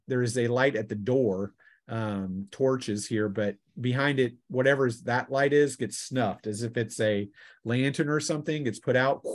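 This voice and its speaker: Male game master, mid-pitched, explaining rules and describing scenes with a halting, improvised delivery. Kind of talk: improvised tabletop game narration.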